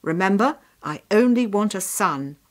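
A woman voicing a stern soldier father in a storybook, speaking in a forceful, commanding manner. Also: mid-range voice, animated, measured pace, close to an everyday voice.

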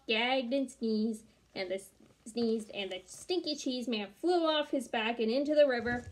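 A young adult woman narrating a children's storybook aloud in a small room at home. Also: on the high side. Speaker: storybook reader.

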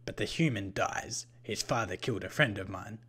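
A menacing vampire-like creature from a horror tale, played by a man reading aloud. The voice is fairly low and rough with breath. He speaks in an odd, deliberate manner, haughty and sure of his own superiority. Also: fairly bright tone. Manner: odd, deliberate way of talking with drawn-out phrases. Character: menacing, haughty purple-skinned vampire-like killer, male, unhurried.

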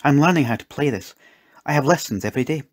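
A man's voice: medium pitch, lively intonation, quick pace, husky, dry recording, clean studio sound, voice pushed up. A man voicing a prim, confident little girl from a well-to-do family, matter-of-fact and showing off in a storybook. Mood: proud, explaining.

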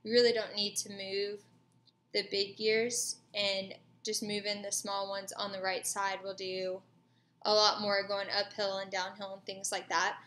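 A woman's voice: mid-range voice, level tone, a little slow, a bit bright, a little hiss or hum. A woman in her twenties with an American accent, giving a casual, matter-of-fact spoken reflection as a student, recorded indoors on a webcam. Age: 20s. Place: small furnished room indoors.

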